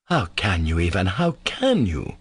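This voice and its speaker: A man's voice: medium pitch, very animated, fairly quick. A man voicing a timid, tender and earnest younger brother in a dramatised novel reading. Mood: grieved, pleading. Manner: earnest, tender, pleading, hesitant.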